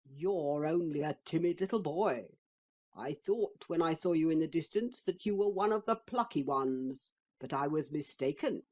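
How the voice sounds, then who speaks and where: A woman's voice: low voice, expressive, darkish tone. A woman voicing a sly, boastful male talking horse that mocks and coaxes a boy. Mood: mocking. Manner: slow, coaxing, mocking.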